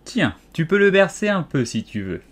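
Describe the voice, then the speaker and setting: Man putting on a gruff, brusque, grumbling Duchess. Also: fairly high pitch, expressive, normal speed, fairly bright tone, voice pushed up. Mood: brusque, irritated. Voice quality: hoarse, gruff. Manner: abrupt, bellowing. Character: brusque, violent, grumbling Duchess rocking a baby.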